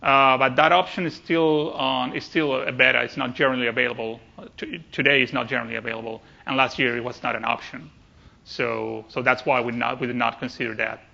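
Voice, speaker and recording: A man's voice: medium pitch, flat delivery, a little fast, vocal fry, pushed and bright, dull audio. A man presenting technical material at a conference, speaking hesitantly and often repeating words as he goes.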